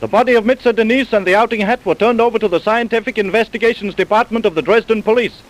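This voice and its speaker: Male narrator for old radio mystery, raised pitch, scripted storytelling on vintage broadcast sound. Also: fast, low-quality recording. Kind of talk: 1930s-40s radio drama narration, scripted.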